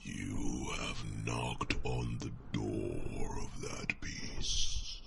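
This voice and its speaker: A man voicing a gentle, wise fantasy companion in a slow, soothing ASMR roleplay, speaking in a breathy, gravelly half-whisper. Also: a bass voice, steady tone, heavily acted. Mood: calm, philosophical. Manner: soothing, measured, with thoughtful hums. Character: gentle, wise companion reassuring a young dragon.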